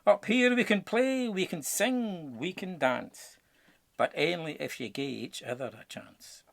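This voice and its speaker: A man's voice: on the high side, animated, a little slow, vocal fry, fairly bright tone, dry recording, full character voice. A man voicing a jolly, wise haggis musician in a storybook, with a Scottish accent and a coaxing, theatrical manner. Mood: encouraging.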